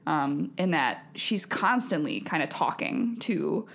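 A woman on a podcast panel discussing a film casually, in a measured, hedging way. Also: low-pitched, very animated, creaky, a bit bright.

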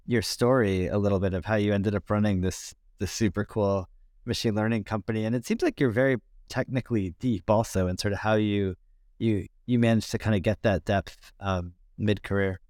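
A man's voice: on the low side, fairly even intonation, fairly quick, darkish tone, dry recording, clean studio sound. A man hosting an interview, asking questions in an easygoing, enthusiastic way. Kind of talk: casual interview.

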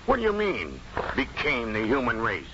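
A man's voice: mid-range voice, wildly swooping pitch, normal speed. An actor playing a pious, set-in-his-ways farmer uncle in a 1950s radio drama, with blunt, folksy rural speech. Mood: stubborn, plain. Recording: dull, noisy 1950s radio recording.

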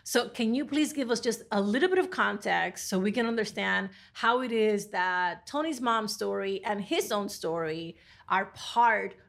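Woman podcast host with a gravelly edge. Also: medium pitch. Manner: earnest, reflective questioning. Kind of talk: podcast interview.

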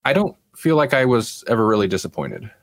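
A man with a fairly low voice, recorded close and dry. He hosts a casual TV review podcast and explains things in a loose, rambling, conversational way. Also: sing-song, a little fast, no room sound. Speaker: podcast host.

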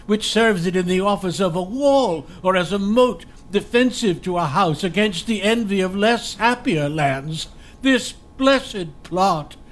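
A man playing a dying old nobleman, fervent and prophetic, speaking breathy, laboured verse in a Shakespearean radio drama. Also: sits high, lively intonation, measured pace. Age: older.

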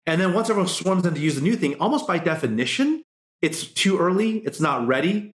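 A man's voice: high voice, big pitch swings, brisk, reverberant. A man hosting a podcast interview, musing at length in a casual, discursive way with lots of fillers. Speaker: podcast host.

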